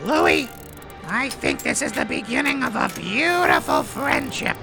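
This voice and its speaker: Guy doing moody old-movie club boss parody, gravelly, over the top, music behind. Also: extremely high pitch, very animated, unhurried, heavily acted. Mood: melodramatic farewell. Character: brooding, melodramatic male nightclub owner in romance parody. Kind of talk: spoof of 1940s film acting.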